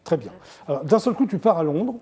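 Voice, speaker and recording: A man hosting a studio talk show, firing off quick teasing questions at his guests. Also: on the high side, big pitch swings, a little mellow, slightly rough. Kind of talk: studio interview.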